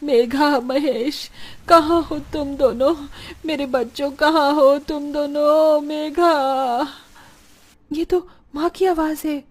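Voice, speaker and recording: A woman's voice: high voice, fairly even intonation, slow. A woman playing an emotional, devoted woman of a poor village in a cartoon moral story, with a plaintive manner. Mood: calling out. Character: distressed, devoted women of a poor flood-hit village.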